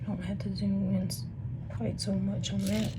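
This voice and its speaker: A woman's voice: medium pitch, flat delivery, moderate pace. A woman with a Missouri accent, losing her voice, casually musing half to herself as she sorts stones for her vlog. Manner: muses half to herself, often drops to a whisper. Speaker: rock tumbling vlogger. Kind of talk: casual off-the-cuff commentary. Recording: noisy room ambience.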